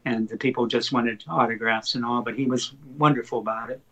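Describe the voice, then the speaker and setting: An older man whose first language is Slovak recounts stories as an interview guest. His voice sits in a middle pitch, and he tells anecdotes with animated, excited retellings. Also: fairly quick, rough recording. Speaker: poet guest. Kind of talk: interview storytelling.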